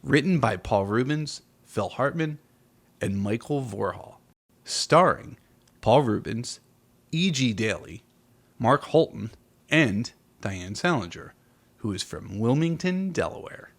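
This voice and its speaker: A man's voice: mid-range voice, expressive, slow pace, gravelly. A man in his forties co-hosting a podcast, talking casually about film and explaining things encouragingly. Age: 40s. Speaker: podcast co-host. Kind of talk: casual film chat with some read facts.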